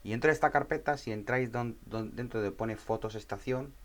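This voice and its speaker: A man's voice: medium pitch, brisk. A man with an accent from Spain narrating an informal technical tutorial, explaining step by step and often checking in with short tag questions. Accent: Spanish from Spain. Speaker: tutorial presenter.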